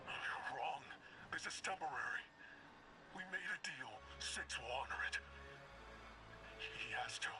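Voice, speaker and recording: A man voicing a tormented, hollowed-out vessel of a dark power in a video game, breathy, halting and bitter. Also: medium pitch, expressive, noisy background, natural voice. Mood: defiant, desperate. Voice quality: breathy, whispering. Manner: anguished, halting, intense, bitter. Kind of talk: dramatic video game cutscene acting.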